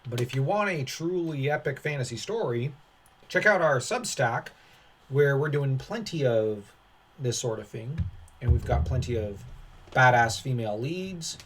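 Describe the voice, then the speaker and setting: A man hosting a commentary channel, talking casually and haltingly, with a dismissive, sarcastic streak. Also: mid-range voice, animated, slight background noise.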